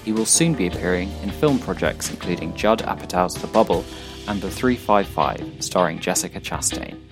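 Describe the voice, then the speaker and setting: A man hosting a podcast, reading a scripted introduction in a steady, read-aloud way over guitar music. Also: low-pitched, moderate pace. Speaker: podcast host.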